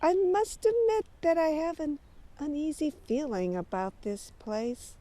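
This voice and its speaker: Woman acting high-voiced, anxious, plucky lady reporter. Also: measured pace. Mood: defiant, uneasy. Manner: dramatic, expressive delivery. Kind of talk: amateur audio drama acting.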